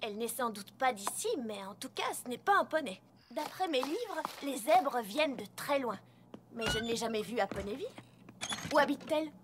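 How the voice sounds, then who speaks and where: A woman's voice: sits high, expressive, fairly quick, background noise. A woman playing a level-headed, bookish young female pony who reasons in an articulate, skeptical way in a cartoon dub. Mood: explaining. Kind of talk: animated cartoon dialogue dub.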